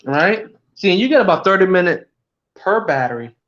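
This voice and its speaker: A man's voice: high-pitched, very animated, brisk, fairly bright tone. A man from Cuba hosting a casual livestream, rambling and joking, chuckling as he talks. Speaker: livestream host. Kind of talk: casual chat. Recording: dull lo-fi stream audio.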